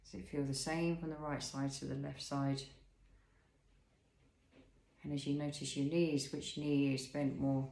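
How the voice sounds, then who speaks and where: A man leading a guided body scan as an instructor, speaking in a soothing, unhurried way with pauses and gentle questions. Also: a little high, vocal fry, roomy sound.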